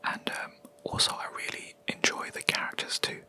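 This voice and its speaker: A young adult video creator, whispering a rambling, hesitant gaming chat close to the mic in a quiet room. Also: normal speed. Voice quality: soft unvoiced whisper throughout. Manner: rambling, hesitant, with many ums and self-corrections.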